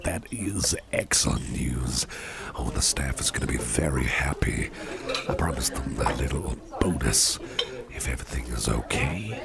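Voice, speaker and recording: A man's voice: animated, over loud music, heavily acted. A man playing a big, muscular, jovial boss with a hearty appetite in an ASMR dinner-date roleplay, speaking in a folksy, soothing, reassuring way. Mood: pleased, genial. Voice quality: very deep, gravelly. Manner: slow, soothing, chuckling, folksy, repeats phrases reassuringly. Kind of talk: ASMR roleplay, personal attention. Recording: restaurant crowd murmur behind the voice.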